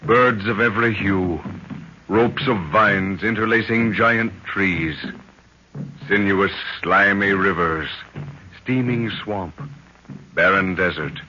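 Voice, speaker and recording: An actor playing a dramatic storyteller, narrating a 1930s radio jungle serial in theatrical, portentous, punchy phrases. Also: male voice, on the low side, slow, pushed and bright, slight background noise. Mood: ominous. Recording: muffled 1930s radio recording.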